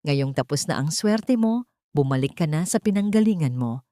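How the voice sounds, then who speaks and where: A woman narrator acts out a haughty older matriarch in a melodramatic audio story. She is commanding and contemptuous, with a sneer in her delivery. The voice sits fairly low and the sound is dry. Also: lively intonation, normal speed, dark tone, no room sound. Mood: cold contempt. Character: haughty, cruel older matriarch mother-in-law.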